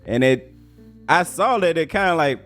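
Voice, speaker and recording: A man's voice: a little high, animated, moderate pace, fairly bright tone, soft background music. An American man hosting a casual interview in a laid-back, slangy way. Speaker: interviewer, host.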